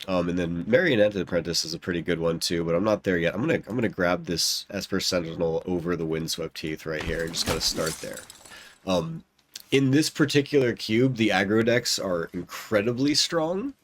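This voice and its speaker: Stuffed-up young man, low voice. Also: animated, normal speed, no echo. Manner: rambling, self-correcting, lots of ums and likes. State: stuffed-up nose. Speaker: gaming YouTuber. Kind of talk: casual commentary while drafting a card game.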